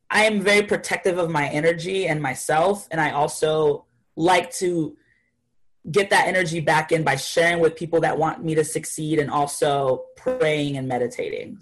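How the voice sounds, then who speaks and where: A woman's voice: sits low, steady tone, normal speed, rough recording. A young woman from Haiti, a guest artist talking casually and thoughtfully in an interview, with many fillers.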